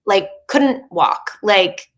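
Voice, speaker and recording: Bright-voiced woman in her thirties, chatty. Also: mid-range voice, expressive, extremely slow. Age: 30s. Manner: chatty and open, many "like" fillers and restarts. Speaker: podcast guest, coach. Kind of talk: candid casual interview.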